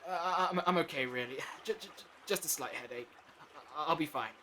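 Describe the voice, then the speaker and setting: A man's voice: fairly high pitch, a little fast, pushed and bright, slight background noise. A man acting in an audio drama as a dazed, apologetic, anxious figure who stammers and hesitates.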